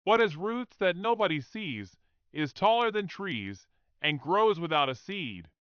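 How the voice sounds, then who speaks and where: A man voicing a regal talking otter with a measured, formal storybook manner. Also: high-pitched, big pitch swings, fairly bright tone, clear, resonant tone, no echo, muffled recording, pitched above the performer's own voice, exaggerated voice. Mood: challenging. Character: regal talking river otter posing a riddle.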